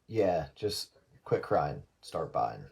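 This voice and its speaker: A man's voice: extremely low pitch, very animated, slow, vocal fry, darkish tone. Teasing man chatting over the radio. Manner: teasing, argumentative, quotes others mockingly. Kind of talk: casual radio chat among hobbyists.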